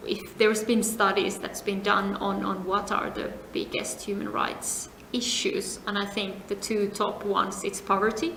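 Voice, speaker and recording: A middle-aged woman from Finland answering interview questions, speaking in a measured way with many pauses and phrase ends that fade to a near whisper. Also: medium pitch, level tone, fairly bright tone. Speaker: interviewee, compliance advisor. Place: seminar venue. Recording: echoey room, faint noise.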